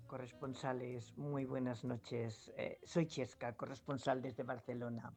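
A woman's voice: rumbling low, steady tone, unhurried, darkish tone. A Spanish grandmother from La Mancha in her fifties, calling a radio show to give earnest, insistent advice, repeating herself and hesitating often. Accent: Spanish from Spain. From La Mancha, Spain. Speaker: radio caller. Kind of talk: emphatic advice on a phone-in. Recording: dull lo-fi phone-in line with faint music.

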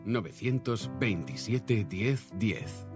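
A man's voice: lowish voice, lively intonation, slow. A man reading a scripted radio promo that invites listeners to call in. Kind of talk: scripted station jingle announcement. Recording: music under the voice.

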